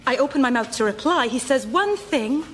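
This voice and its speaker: High, animated, exasperated woman. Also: moderate pace, a little hiss or hum. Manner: indignant, incredulous, conversational. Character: exasperated woman swapping bad boyfriend stories. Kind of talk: radio sketch comedy dialogue.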